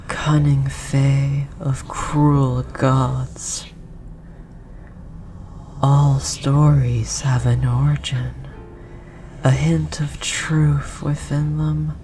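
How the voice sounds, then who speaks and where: A performer voicing an ancient forest god in a roleplay audio drama, solemn and condescending, with a breathy, whispery voice and archaic formal phrasing. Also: mid-range voice, mellow. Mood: ominous. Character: ominous ancient forest god, possessive, condescending, gently menacing. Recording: noisy, layered forest ambience and effects. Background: forest ambience.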